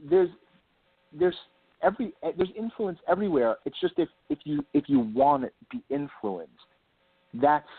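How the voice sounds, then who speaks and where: A man speaking as an author guest in a casual interview, enthusiastic and rambling, repeating words for emphasis, with a slightly husky voice. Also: a little high. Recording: lo-fi phone-like line, roomy echo.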